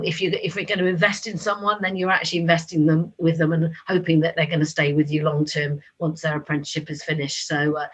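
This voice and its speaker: A woman's voice: sits low, a bit bright, highs cut off. A woman hosting a webinar on a video call, introducing speakers in a friendly way with many fillers. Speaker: webinar host.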